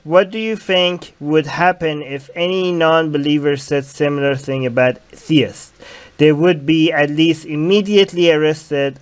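A man's voice: fairly high pitch, clear, resonant tone. A young man hosting a livestream in a small room, reading viewer comments aloud into a headset mic and arguing his points in casual chat. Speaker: livestream host.

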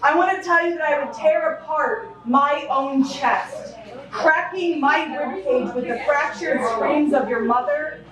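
A woman in her thirties performing spoken word poetry at an open mic in a small bar, reading from a book with intense, impassioned delivery. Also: sits high. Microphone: stand mic, filmed by a far camera. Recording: echoey bar, from far off. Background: bar ambience.

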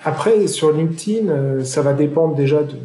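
A man interviewing a guest in a casual, relaxed chat, explaining things informally. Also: on the high side, moderate pace, echoey room, slight background noise. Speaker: interviewer, podcast host.

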